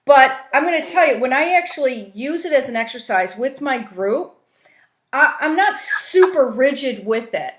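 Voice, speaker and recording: Female trainer, higher voice, informal explanatory talk, checks in often, roomy conference-call sound. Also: animated, moderate pace. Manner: explanatory, often checks in with "right?" and "you know". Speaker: trainer, facilitator teaching a coaching tool.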